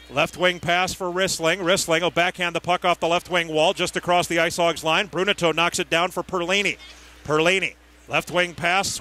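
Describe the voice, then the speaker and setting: A male hockey announcer with a high-pitched, forceful voice, calling the game as live radio play-by-play. He speaks in clipped running phrases and lands player names crisply. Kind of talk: live sports play-by-play commentary.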